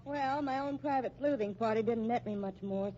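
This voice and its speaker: Actress as a sardonic, high-pitched woman reporter. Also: moderate pace, mellow, natural voice. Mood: taunting. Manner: sarcastic, wisecracking, taunting. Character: sardonic, dogged female newspaper reporter. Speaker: radio actress. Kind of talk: 1950s radio drama acting. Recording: muffled, noisy old radio recording.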